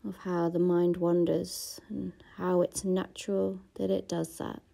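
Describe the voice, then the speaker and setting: A woman guiding a gratitude body scan meditation, speaking softly and slowly and often dropping into a half-whisper. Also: on the low side, little pitch movement, creaky. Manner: slow, soft-spoken, often half-whispered. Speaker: meditation guide. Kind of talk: guided meditation.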